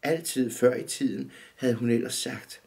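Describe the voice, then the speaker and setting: A man in his fifties calmly reading his own short story aloud in a dim room, measured and steady. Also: medium pitch, creaky. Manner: calm, measured reading, often looking down at the text. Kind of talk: literary reading.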